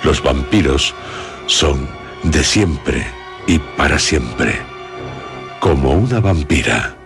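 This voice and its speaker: Male horror radio host, Castilian accent, very slow and theatrical, solemn suspense over prominent music. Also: rumbling low, animated. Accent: Castilian Spanish. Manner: solemn, suspenseful, pausing between short phrases. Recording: loud music behind voice. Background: loud music.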